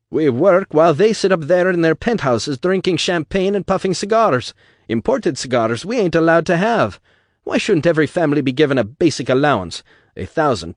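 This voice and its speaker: A man's voice: a little high, no room sound, studio quality, pitched above the performer's own voice. A man reading an audiobook voices a fiery male agitator with an Irish brogue, bellowing to a crowd.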